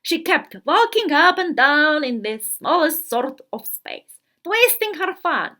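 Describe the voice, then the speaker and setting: Woman playing a high-voiced, theatrical teenage girl diarist. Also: big pitch swings, bright, forceful tone, exaggerated voice. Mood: gleeful, dramatic. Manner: theatrical, deliberate pauses, emphatic delivery. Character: dramatic, self-important fourteen-year-old diarist girl, earnest and worldly-wise. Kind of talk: dramatic literary reading of a diary.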